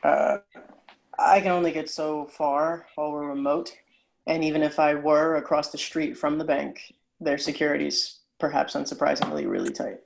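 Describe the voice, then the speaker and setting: A man playing a low-key young hacker in a screenplay table read, hesitant and understated. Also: little pitch movement, moderate pace, vocal fry, rough recording. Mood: hesitant, dry. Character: low-key young hacker friend named Jake, male.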